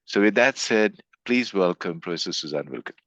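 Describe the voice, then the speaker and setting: A man hosting an online seminar, reading out a guest introduction haltingly over a video call. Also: low-pitched, animated, a bit bright, dry recording, dull audio. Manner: halting, stumbles over names. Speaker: seminar host.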